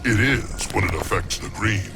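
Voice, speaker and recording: An actor playing a solemn, stern plant monster who guards nature in a video game, speaking formally and gravely. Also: male voice, over loud music, close to an everyday voice. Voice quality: deep, gravelly. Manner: slow, formal, humorless. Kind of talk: video game character acting.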